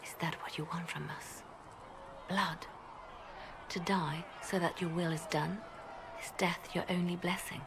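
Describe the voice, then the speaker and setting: An actress playing a solemn, anguished woman of faith in a video game, speaking in a hushed, prayerful way. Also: lowish voice, steady tone, unhurried. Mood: bitter, anguished. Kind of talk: dramatic video game dialogue. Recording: clear background noise.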